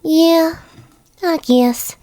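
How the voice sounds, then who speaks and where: High-pitched little country filly. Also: female voice, drawn-out words, warm tone, no room sound, full character voice. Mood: worried, downcast. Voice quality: small, childlike. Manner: folksy rural speech. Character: earnest young country filly, little sister pony. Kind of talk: dramatic fan fiction reading.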